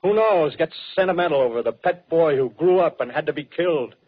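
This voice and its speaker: An American actor playing a fanatical, rough-spoken soldier survivor in a 1930s radio drama, talking in clipped, insistent slang. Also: male voice, on the high side, a little fast, close to an everyday voice. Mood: cynical. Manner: colloquial tough-guy talk, clipped phrases, insistent. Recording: dull, muffled 1930s radio broadcast recording.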